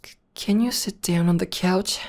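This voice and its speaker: A woman playing a tough but devoted tomboy war demon girlfriend in an intimate ASMR roleplay, speaking softly, tenderly and haltingly. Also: fairly low pitch, lightly acted. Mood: nervous. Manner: soft, halting, tender delivery.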